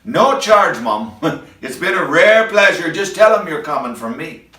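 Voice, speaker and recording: A man's voice: fairly high pitch, sing-song, measured pace, sharp tone, reverberant, distant mic, pitched above the performer's own voice, heavily acted. A man voicing a cheerful young house doctor with a Scottish accent in a recited comic ballad. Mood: jovial.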